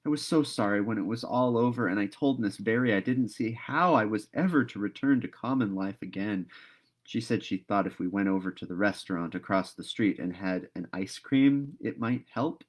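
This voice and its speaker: A man's voice: lowish voice, normal speed, natural voice. A man voicing a talkative, dreamy young girl in a storybook read-aloud, earnest and gushing. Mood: rapturous.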